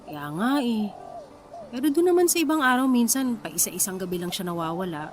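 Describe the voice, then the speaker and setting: A woman's voice: medium pitch, lively intonation, a little fast, slight background noise, close to an everyday voice. An actress playing an anxious, suspicious pregnant wife who downplays her worries in a Filipino radio drama, with a casual, emotional delivery. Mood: reassuring. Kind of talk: Tagalog radio drama acting.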